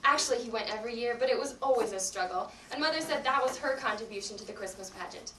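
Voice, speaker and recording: A young woman narrates scripted readers theatre on stage. Her voice is on the high side and distant from the mic, in a roomy space with noticeable noise. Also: quick pace, sharp tone. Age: young adult. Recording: echoey room, distant mic, clear noise.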